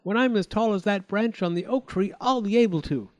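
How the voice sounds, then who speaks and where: Figure: a man playing a young princess. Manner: eager, determined. Pitch: high. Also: brisk, a little mellow, lightly acted. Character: young princess girl, lively and brave.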